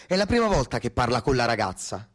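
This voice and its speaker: A male actor reads a literary story as radio theatre. His voice is medium in pitch, with lively, wide-ranging intonation. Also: fast. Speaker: actor-narrator.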